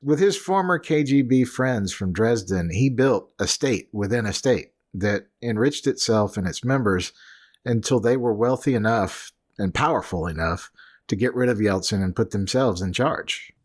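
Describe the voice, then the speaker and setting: Man, podcast co-host, low voice, very lively pitch, dry, sardonic asides. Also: moderate pace. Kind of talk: casual scripted podcast chat.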